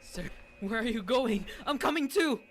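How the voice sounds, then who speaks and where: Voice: mid-range voice, animated, normal speed, a bit bright, natural voice. A performer voicing a panicked young character who whines and pleads to be saved, in amateur acting with music underneath. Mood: anxious, clingy. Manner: frantic, whining, clingy.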